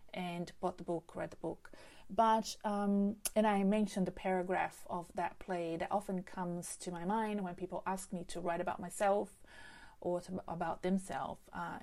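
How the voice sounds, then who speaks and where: A woman with an accent, English being her second language, hosts a solo podcast on careers. Her voice sits low and carries a gravelly fry. She talks in a chatty way, filling her pauses with ums and circling back to restart her sentences. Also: warm tone. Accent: non-native English. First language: not English. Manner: chatty, many fillers and restarts. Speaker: career coach podcast host. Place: home setup with a desk microphone.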